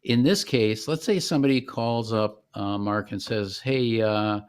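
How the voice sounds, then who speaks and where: A man explaining software step by step in a conversational, informal product demonstration. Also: lowish voice, unhurried, clean tone.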